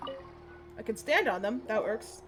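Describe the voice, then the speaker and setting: A woman's voice: on the high side, expressive, moderate pace, music in the background. A woman giving casual, playful live commentary as she streams a game, irreverent and given to swearing.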